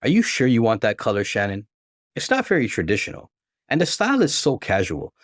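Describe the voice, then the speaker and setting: A man's voice: medium pitch, huge pitch swings, brisk, no room sound, studio quality. A man voicing a meddling young bridesmaid in a story, critical and nagging. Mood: doubtful. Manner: doubting, nagging.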